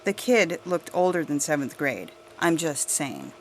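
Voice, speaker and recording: Suburban busybody mom , female reader, self-righteous and gossipy, slowish, lowish pitch, audio fiction. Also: sing-song, slight background noise, rough recording. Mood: smug. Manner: gossipy, indignant. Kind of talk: scripted audio fiction monologue.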